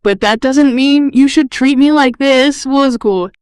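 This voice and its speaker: A woman's voice: sits high, a little slow, a little mellow, no room sound, pitched above the performer's own voice. Woman playing young daughter-in-law, earnest, dutiful, weary, patient, story drama, dry sound. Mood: pleading. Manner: earnest, pleading.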